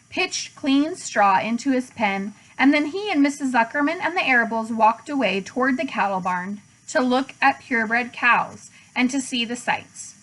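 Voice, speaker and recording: A woman's voice: on the high side, fairly bright tone, low-quality recording. A woman narrating a children's storybook in a read-aloud style, as a teacher would.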